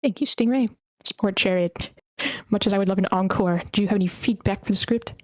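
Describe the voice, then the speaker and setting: Female moderator, medium pitch, dry recording, handing the floor around a group discussion. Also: a little fast, dry recording. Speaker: moderator of a table read meeting.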